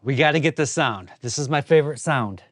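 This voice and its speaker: A man's voice: medium pitch, brisk, a bit bright, no room sound. A man hosting a woodworking vlog, explaining things in an informal, plain-spoken way. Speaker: host of a woodworking channel. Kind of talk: casual workshop vlog narration.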